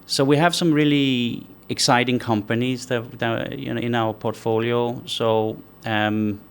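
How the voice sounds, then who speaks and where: A man from Denmark, a company founder answering interview questions in a measured way, thinking aloud with frequent restarts. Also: medium pitch, fairly even intonation, clear, resonant tone.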